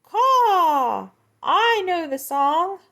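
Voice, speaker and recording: A woman voicing a nosy talking crow in a children's story, loud and squawking with drawn-out caws. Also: very high voice, sing-song, creaky, pitched above the performer's own voice, full character voice. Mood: squawking, insistent. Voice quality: cawing, squawking.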